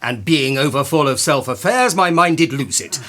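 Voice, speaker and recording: A man's voice: medium pitch, expressive, moderate pace, sharp tone. A man playing a stern, commanding ruler of Athens, formal and authoritative in a Shakespearean radio play. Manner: formal, authoritative verse delivery.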